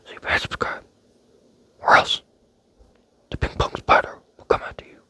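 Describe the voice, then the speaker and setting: A man's voice: moderate pace, pushed and bright, no room sound. A young man rambling playfully in a close-miked ASMR whisper, with many fillers. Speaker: ASMR creator.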